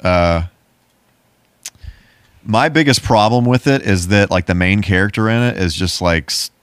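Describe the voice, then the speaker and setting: A man's voice: fairly low pitch, measured pace, clean tone, no room sound. A man co-hosting a podcast, chatting casually about movies in a laid-back, easygoing way. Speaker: podcast co-host.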